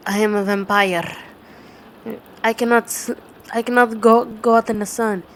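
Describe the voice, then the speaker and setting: A woman playing a tender, dominant vampire girlfriend in an ASMR bedtime roleplay, speaking in a halting, soothing way. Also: on the high side, fairly even intonation, natural voice. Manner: slow, halting, soothing delivery with repeated words. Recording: clearly noisy ambient background.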